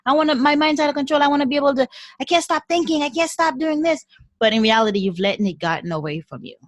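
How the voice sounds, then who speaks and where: A woman from Haiti with a Haitian accent, a podcast guest talking in a lively way and often repeating words as she gathers her thoughts. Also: high-pitched, quick pace, dry recording. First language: French and Haitian Creole. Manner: animated, repeats words while thinking. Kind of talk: casual interview conversation.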